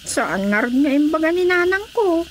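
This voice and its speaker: A woman's voice: high voice, very animated, normal speed. A woman playing a polite, deferential young woman with a Filipino accent in radio drama dialogue. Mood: earnest. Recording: faint background noise.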